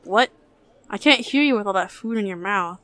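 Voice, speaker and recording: A woman voicing an apathetic fast-food cashier in a cartoon comic dub, weary and unimpressed. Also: mid-range voice, dry recording, natural voice. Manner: flat, hesitant, unimpressed.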